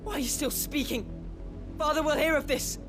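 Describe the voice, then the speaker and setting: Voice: high-pitched, moderate pace, over music, lightly acted. An actor playing a spoiled, cruel young noble child in a video game, with a whiny, entitled manner. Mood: haughty, petulant.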